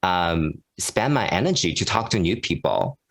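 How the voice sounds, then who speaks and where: Low-voiced, frank, rambling man. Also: wildly swooping pitch, normal speed, vocal fry, a bit bright. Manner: frank, rambling, self-mocking. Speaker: guest YouTuber. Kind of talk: casual podcast chat.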